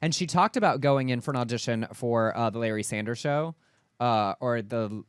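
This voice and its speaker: A man's voice: mid-range voice, moderate pace, clean tone, no room sound. A man co-hosting a casual talk show, articulate and thoughtful as he recounts stories. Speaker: co-host. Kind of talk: casual chat.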